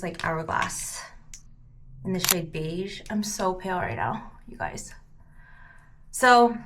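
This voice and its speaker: Thirties woman doing a get ready with me, cantonese her first language, lots of "like", kinda soft. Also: mid-range voice. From San Francisco Bay Area, California. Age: 30s. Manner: chatty, peppered with "like", soft-spoken while doing makeup. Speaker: beauty vlogger. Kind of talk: casual get-ready-with-me chat.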